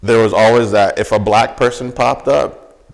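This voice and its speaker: A man's voice: mid-range voice, steady tone, moderate pace, vocal fry, poor audio. A man talking in a casual discussion, often checking in with his listener.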